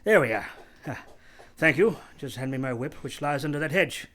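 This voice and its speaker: An actor playing a proud, brusque gentleman master of a house in a radio play, with a curt, imperious and halting manner. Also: a little high, lively intonation. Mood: gruff, commanding.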